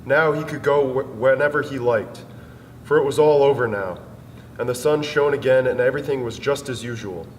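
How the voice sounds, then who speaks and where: A man's voice: mid-range voice, normal speed, noisy background. A man giving a solemn, dramatic narration of a literary story. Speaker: dramatic reader. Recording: distant, long reverb, music behind.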